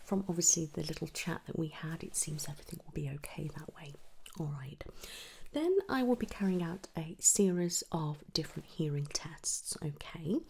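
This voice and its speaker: A woman gently whispering and softly speaking in a breathy, reassuring way, as an audiologist in an ASMR medical roleplay. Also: low voice, moderate pace. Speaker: ASMR performer playing an audiologist. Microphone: close ASMR microphone.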